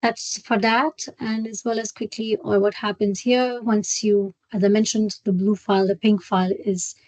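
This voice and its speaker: Woman doing a software demo on a webinar, halting step by step explaining, mid pitch, really dry sound. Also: little pitch movement, dry recording, poor audio. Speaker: software trainer hosting a webinar. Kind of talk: live screen-share product walkthrough.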